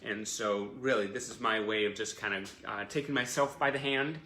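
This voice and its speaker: Bright-voiced effusive man in an echoey room. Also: on the low side, fairly even intonation, normal speed. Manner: effusive, gushing, encouraging. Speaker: host of a fan livestream show. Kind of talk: casual livestream chat to fans. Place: indoor room, live online stream. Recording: roomy echo, faint background noise.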